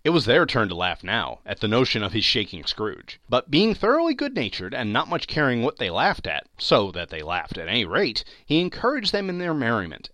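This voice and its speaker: A man giving a dramatic, theatrical reading of a classic story as narrator, with expressive emphasis. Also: medium pitch, dry recording. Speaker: podcast host, narrator.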